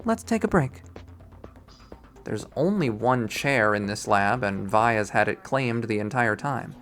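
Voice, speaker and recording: A man's voice: lowish voice, a little slow, fairly bright tone. Man narrating a visual novel over music. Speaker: visual novel readthrough player. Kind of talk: expressive first-person narration read aloud from a game.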